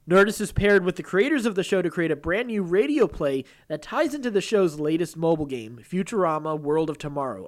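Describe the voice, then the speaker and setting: A man with a slightly high voice hosts a relaxed nerd-news podcast. He talks in an easygoing, chuckly way, with phrases that stop and start as he moves between news items and banter. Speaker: podcast host. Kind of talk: casual nerd-news chat with some read-out news items.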